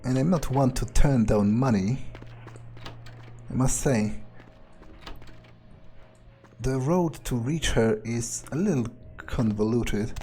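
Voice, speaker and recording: Slow, mellow man thinking aloud. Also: mid-range voice, slight background noise. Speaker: gamer playing in character. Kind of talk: in-character musing game playthrough.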